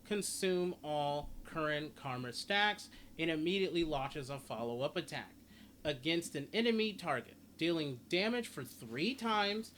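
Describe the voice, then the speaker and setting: A man with a slightly high voice talks through a game guide in a casual, rambling way. He often restates his points as he goes. There is some noise behind him on the recording. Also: expressive, a little slow, a bit bright. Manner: rambling, repeats and rephrases himself. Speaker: gaming video host. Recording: clearly noisy home recording.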